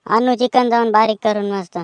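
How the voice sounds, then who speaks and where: A woman's voice: a little high, steady tone, brisk, smooth, no echo. A woman with a rural Maharashtra accent performing colloquial village banter in comic cartoon style. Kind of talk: rustic comic cartoon dialogue.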